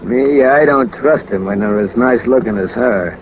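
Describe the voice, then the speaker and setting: A man's voice: mid-range voice, moderate pace, natural voice. An actor playing a lonely, businesslike hired killer in 1940s radio crime drama, talking in casual, ungrammatical tough-guy fashion with matter-of-fact menace. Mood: chatty, coolly menacing. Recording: dull, noisy old 1940s radio recording.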